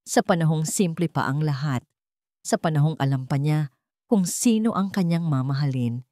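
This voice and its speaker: A woman's voice: sits low, moderate pace, warm tone, no room sound, clean studio sound. A woman reading a scripted romance story as a dramatic narrator.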